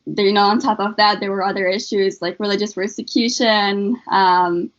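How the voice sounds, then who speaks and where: A woman's voice: medium pitch, steady tone, moderate pace, lo-fi sound. A young woman from Afghanistan, a student, earnestly answering interview questions about her life, with many filler words. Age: young adult. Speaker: student guest. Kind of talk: interview answers, personal story.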